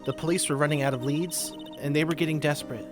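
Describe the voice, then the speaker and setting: A man voicing a forlorn, soft-spoken young orphaned woman who is a spirit medium, halting and earnest, in an amateur game voice-over. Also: a little high, steady tone, over music, natural voice. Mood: earnest, wistful.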